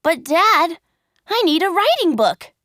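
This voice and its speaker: A high, excitable little wooden puppet boy, as a woman reads him out, naughty but loving. His voice leaps up and down with eager pleading in a dry, close storybook reading. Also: a little slow, no echo, full character voice.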